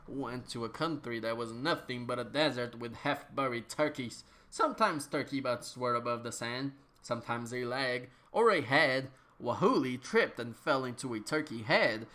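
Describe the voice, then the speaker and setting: A man narrating an absurd comic story as a dramatic reading, with theatrical, drawn-out delivery and mock gravity. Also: medium pitch, pushed and bright.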